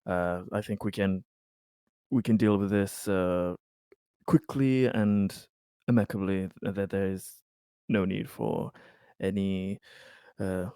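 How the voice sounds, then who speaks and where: A man voicing a polite, evasive corporate representative in a tabletop game, formal, diplomatic and halting. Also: low voice, fairly even intonation, dark tone, smooth, no room sound, clean studio sound. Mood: polite, cautious.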